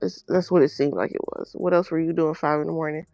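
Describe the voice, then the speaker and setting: A woman co-hosts a casual podcast, making her points bluntly and with emphasis. Her voice carries a gravelly fry, and the sound is dry and close, with faint music in the background. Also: low voice, fairly even intonation, fast talker, mellow, no echo. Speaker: podcast co-host.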